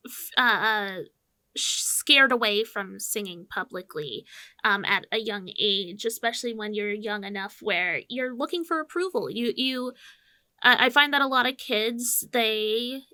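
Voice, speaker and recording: Woman cohost, bright and a bit high, thoughtful, stumbles on starts. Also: a little slow, dry recording. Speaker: podcast cohost. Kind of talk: casual chat.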